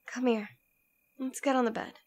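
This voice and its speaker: A woman acts out a demon queen with a high-pitched voice. She speaks theatrically and intimately, choosing each phrase with deliberation. It is a scripted ASMR roleplay. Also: fairly even intonation, normal speed, darkish tone, lightly acted. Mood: tender, concerned. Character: demon queen, imperious and possessive, secretly tender lover.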